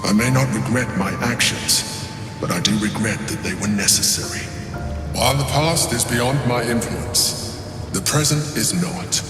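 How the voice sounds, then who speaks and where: An actor playing a proud, calculating mind flayer in a video game, speaking in a calm, measured, deliberate way. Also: male voice, medium pitch, lightly acted. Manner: measured, deliberate, self-justifying. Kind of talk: dramatic game monologue. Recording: roomy long reverb, music behind.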